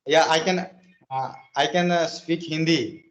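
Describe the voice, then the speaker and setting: A man from Odisha, India, with an Odia accent and Odia as his native language, chatting casually in halting, repetitive English over a lo-fi call. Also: fairly high pitch, steady tone. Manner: halting, deliberate, repeats phrases. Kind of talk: casual chat. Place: video call. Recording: dull lo-fi video call.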